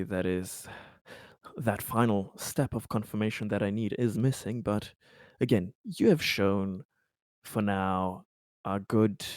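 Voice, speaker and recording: A man playing a cautious official in a tabletop roleplaying game, speaking formally and hesitantly as he deliberates. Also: on the low side, a little mellow, dry recording, studio quality. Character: cautious, deliberating male official negotiating with an agent. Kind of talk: improvised tabletop roleplay dialogue.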